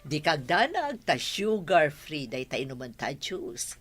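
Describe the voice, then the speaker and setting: A woman with an Ilocano accent playing a shop-owning mother in a television drama, expressive and measured. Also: low voice, slightly rough, soft background music, full character voice. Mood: cheerful, chatty. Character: firm, exasperated mother who owns a furniture shop.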